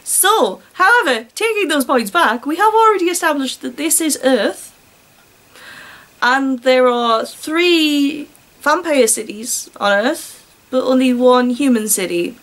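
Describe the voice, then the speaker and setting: A woman's voice: high-pitched, animated, measured pace, fairly bright tone. A woman reading a story aloud and breaking off for casual, sarcastic commentary. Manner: wry, sarcastic asides.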